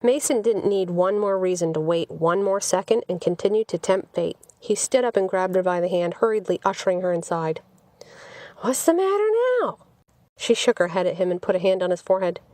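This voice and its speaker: A woman's voice: on the low side, steady tone, fairly quick, few pauses, dark tone, no echo. American woman narrating fiction, dry sound. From United States. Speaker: author narrating her audiobook. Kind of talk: scripted story narration.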